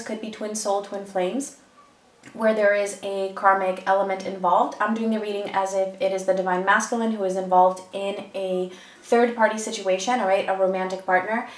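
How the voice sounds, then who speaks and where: A woman's voice: mid-range voice, steady tone, moderate pace, far from the mic. A woman with a stuffy cold giving a chatty, meandering tarot reading full of ums, in a room at a table. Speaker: tarot reader. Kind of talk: casual tarot reading to camera. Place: indoors at a table, room with echo. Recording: echoey room, mic set back.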